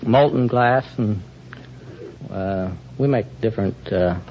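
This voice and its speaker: A man's voice: fairly low pitch, slow, very noisy. A man answering plainly and hesitantly as a contestant on a muffled 1950 radio quiz broadcast. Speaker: quiz show contestant, glassblower.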